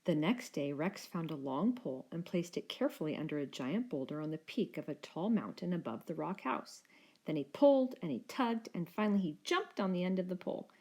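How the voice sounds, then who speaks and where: A woman with a fairly low voice narrates a children's tale. Her reading is expressive and scripted, as a storyteller for young listeners. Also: brisk.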